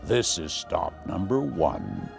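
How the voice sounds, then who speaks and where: Man acting out a grouchy male storybook villain, scheming, snarling, gravelly, wildly swooping pitch. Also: huge pitch swings, slow, over music, exaggerated voice. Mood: sly. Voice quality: snarling, growling. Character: sneering, scheming, grouchy middle-aged male storybook creature.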